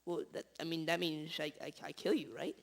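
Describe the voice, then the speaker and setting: A young man playing a desperate newspaper editor turned crook in an amateur radio drama, halting and melodramatic. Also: a little high, gravelly. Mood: flustered. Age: teenager or young adult.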